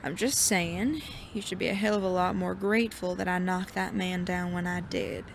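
An actor playing a cocky, foul-mouthed young sheriff in a Western audio drama, with a creaky voice and folksy, combative frontier talk. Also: medium pitch, steady tone, moderate pace, slight background noise, natural voice.

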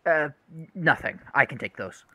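A man's voice: medium pitch, animated, measured pace, pushed and bright, exaggerated voice. An actor playing an awkward, withdrawn young man in a radio play, with hesitant, short replies. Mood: awkward, guarded. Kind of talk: radio play acting, naturalistic dialogue.

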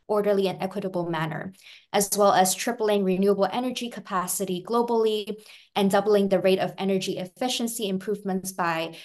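A woman's voice: mid-range voice, darkish tone. A young woman from Hong Kong in her twenties, moderating an online panel and reading a prepared introduction over a video call. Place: indoors at home, video call. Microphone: video call webcam.

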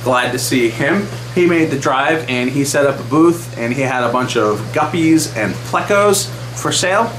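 A man in his thirties talks straight to the camera in an easy, upbeat vlog manner, dropping plenty of "uh"s. His mid-range voice bounces around a small, roomy space. A steady hum sits clearly underneath. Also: medium pitch, normal speed, few pauses. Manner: chatty, enthusiastic, many fillers. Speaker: aquarium channel host. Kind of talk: casual vlog update. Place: small wood-paneled room indoors.

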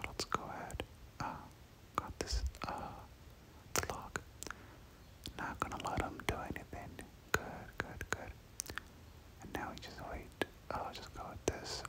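A whispering game streamer gives ASMR play-by-play in a casual, slangy way. The voice is breathy and unvoiced at a close mic, often repeating little words and phrases. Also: normal speed, rough recording. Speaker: gaming video host. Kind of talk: whispered ASMR gameplay commentary.

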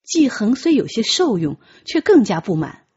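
A woman's voice: on the high side, big pitch swings, normal speed, a little mellow, husky, muffled recording. A woman reading a novel aloud as dramatic scripted audiobook narration.

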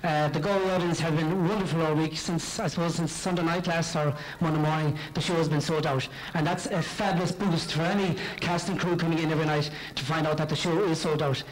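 A middle-aged man with a western Irish accent giving an informal, halting thank-you speech from a stage into a handheld microphone. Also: fairly high pitch, steady tone. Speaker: pantomime company speaker giving thanks. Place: on stage in a theatre. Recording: noisy live theatre recording, loud audience.

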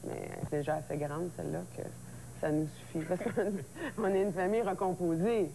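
A woman talks easily in a TV studio lounge, her voice sitting a little low. She answers in quick, relaxed bits, clipped onto a lapel mic with a faint hum underneath. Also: gravelly, low-quality recording. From Ontario, Canada. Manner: relaxed, chatty, quick short replies. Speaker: singer, guest. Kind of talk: casual TV interview chat.

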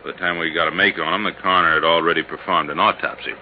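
Male actor as easygoing American cop, very low voice, laconic and matter-of-fact, muffled vintage radio drama sound. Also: steady tone, racing, lightly acted. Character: male police sergeant, plain-spoken, easygoing, matter-of-fact. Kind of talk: 1950s radio drama acting. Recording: muffled, noisy 1950s radio recording.

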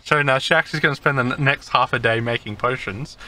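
A man narrating as game master of a tabletop game, halting with many fillers. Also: medium pitch, sharp tone.

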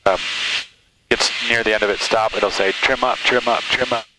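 A man with a fairly low voice gives casual, hands-on flight instruction. He talks through steps plainly on a cockpit headset intercom. Heavy engine noise and hiss sit behind every word. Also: fairly even intonation, normal speed. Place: small twin-engine plane cockpit in flight. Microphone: aviation headset intercom. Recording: loud engine drone, hissy aircraft intercom. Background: loud engine noise and hiss.

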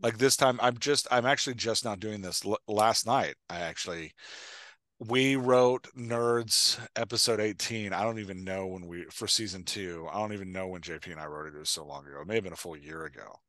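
An American man with a lowish, crisp-edged bright voice talks casually as a podcast host. He tells stories in an animated way, quoting his own thoughts, in a dry-sounding space. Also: brisk, no room sound. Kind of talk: casual Q&A chat. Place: indoor room at home, on a video call. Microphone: headset microphone.

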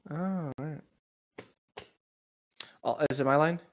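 A man's voice: fairly high pitch, takes it slowly, muffled recording. A man co-hosting a casual read-aloud of a story, with sarcastic, mocking and profane commentary. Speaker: co-host reading a story aloud with running commentary.

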